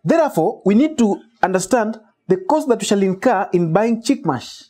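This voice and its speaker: A man's voice: high voice, lively intonation, moderate pace, mellow. A man, teaching step by step and answering his own questions. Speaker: poultry farming instructor. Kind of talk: step-by-step whiteboard lesson. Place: small shed with metal walls.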